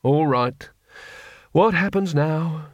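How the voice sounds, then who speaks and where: A man's voice: on the high side, slow pace, no room sound, lo-fi sound, pitched above the performer's own voice. Guy reading as gloomy hapless wizard, resigned moaning, fantasy audiobook, dry sound. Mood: wary. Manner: flat, gloomy, resigned complaining. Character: glum, pessimistic, cowardly hapless male wizard.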